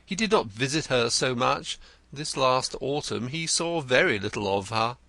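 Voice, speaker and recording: A man's voice: medium pitch, expressive, moderate pace, vocal fry, pushed and bright, no echo, voice pushed up. A man voicing a vain, theatrical male poet in an audiobook, florid and gushing, stammering when pressed. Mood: effusive. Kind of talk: audiobook character reading.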